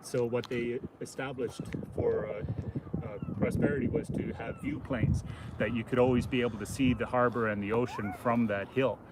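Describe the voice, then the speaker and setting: Male walking-tour host, halting off-the-cuff explanations, mid-range pitch, recorded outdoors with clear traffic noise. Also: fairly even intonation. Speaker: livestream host and informal walking guide. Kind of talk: casual unscripted commentary while walking. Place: outdoors on a city waterfront. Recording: noisy outdoor city audio with traffic.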